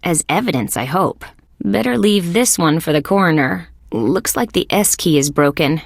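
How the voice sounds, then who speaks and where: A woman playing a wry, skeptical young female police detective in a video game, with a deadpan, sardonic delivery. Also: on the low side, moderate pace, gravelly, no room sound, studio quality. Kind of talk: scripted game character lines.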